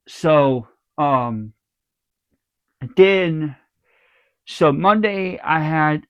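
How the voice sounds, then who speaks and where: A man's voice: medium pitch, smooth, no room sound. A congested man giving his channel a casual, rambling update, keeping his voice down and circling back to repeat himself. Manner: speaking softly to spare his throat. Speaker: channel host. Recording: dull lo-fi dry recording.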